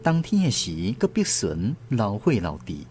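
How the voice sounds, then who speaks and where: A man's voice: on the low side, huge pitch swings, unhurried. A man playing a grown child looking back fondly, narrating a literary radio drama with a Taiwanese accent. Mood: tender admiration. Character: grown child fondly remembering a hardworking mother. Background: soft guitar music.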